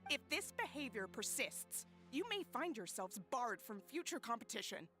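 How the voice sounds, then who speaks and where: A firm, rule-bound tournament attendant for an anime game. She speaks formally in a high, bright, very animated voice over faint music. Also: moderate pace. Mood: stern. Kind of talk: anime-style video game acting.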